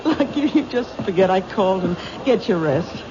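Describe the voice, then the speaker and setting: A woman's voice: medium pitch, lively intonation, normal speed, natural voice. An actress playing a nervous, stammering middle-aged cleaning woman in a radio drama. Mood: uneasy. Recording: noisy, muffled vintage radio broadcast.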